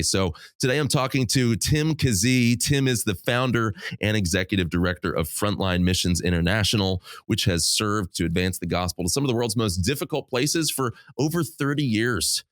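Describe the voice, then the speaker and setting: Man with a dry, lower-than-usual voice. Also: no room sound. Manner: upbeat, promotional, warmly welcoming. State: getting over a cold, voice lower than usual. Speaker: podcast host. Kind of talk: scripted podcast intro and interview.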